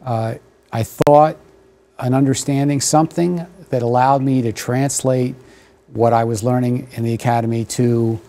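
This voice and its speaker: A man in his sixties, German his first language, speaking at a middle pitch with a warm, dark tone. He thinks aloud, stopping and restarting with many fillers. He is recorded on a lapel mic in a dark television studio. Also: steady tone, moderate pace. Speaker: author and guest. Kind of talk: studio interview conversation.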